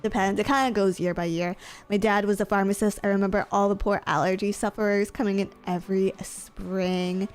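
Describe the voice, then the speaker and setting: A woman's voice: mid-range voice, steady tone, brisk, clean tone, soft background music. A young woman streamer in casual chat with viewers, sniffly from allergies, rambling with lots of fillers and giggles.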